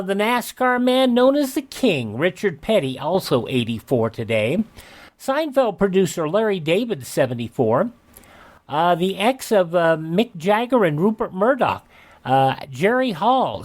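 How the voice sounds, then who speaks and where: An older American man hosting a radio show, reading almanac items and dropping in informal asides. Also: on the high side.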